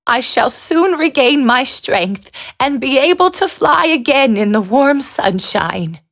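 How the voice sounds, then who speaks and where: A woman voicing a gentle, grateful swallow in a fairy tale, speaking earnestly. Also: high voice, lively intonation, slow, pitched above the performer's own voice, exaggerated voice. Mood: weak, grateful.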